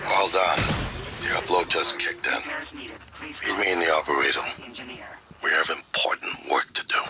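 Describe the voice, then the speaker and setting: An actor playing a philosophical, commanding mentor with a measured, sententious way of talking. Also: male voice, low voice, crowd noise. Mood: reflective, approving.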